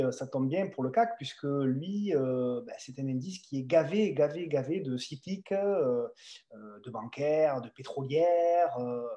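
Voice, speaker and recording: A man's voice: mid-range voice, warm tone. A man from the south of France with a Southern French accent, hosting a casual market chat, hesitating and repeating words. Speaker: podcast host discussing the stock market.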